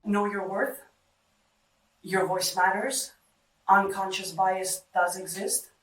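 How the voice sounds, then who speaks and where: A woman's voice: mid-range voice, fairly even intonation, slow, a bit bright. Middle-aged woman, roomy distant sound. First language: Greek. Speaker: data center engineer being interviewed. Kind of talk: short interview answers. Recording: roomy, distant mic, background noise.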